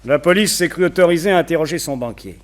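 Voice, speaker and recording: An actor playing a well-connected, opinionated society gentleman in a French radio detective play, talkative, confiding and wryly gossipy. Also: fairly high pitch, quick pace, natural voice. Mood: confiding, briefing.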